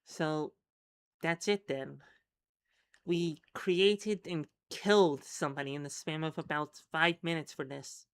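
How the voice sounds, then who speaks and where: A woman's voice: sits low, fairly even intonation, measured pace, clear, resonant tone, no echo. A woman playing a weary, blunt adventurer who is troubled by killing, in a role-playing game. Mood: troubled, subdued.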